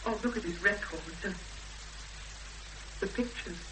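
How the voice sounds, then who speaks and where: An actress playing a gentle, anxious young woman, hesitant and pleading in a 1970s radio drama. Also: medium pitch, a little slow, lightly acted. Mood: worried. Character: anxious, gentle young woman investigating her sister's disappearance. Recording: noisy old radio broadcast, hum and crackle.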